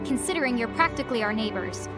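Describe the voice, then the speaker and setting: A woman playing a sensible, sharp-tongued young heroine in a video game, articulate and slightly prim. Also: a little high, animated, quick pace, lightly acted. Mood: firm. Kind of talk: video game cutscene acting. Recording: music clearly behind the voice.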